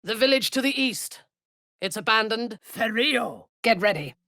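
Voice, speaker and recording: A woman playing a ruthless, imperious warrior commander of a dark cult in a fantasy video game, cold, commanding and disdainful. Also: fairly high pitch, lively intonation, measured pace, no echo, clean studio sound, full character voice. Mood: forceful spellcasting.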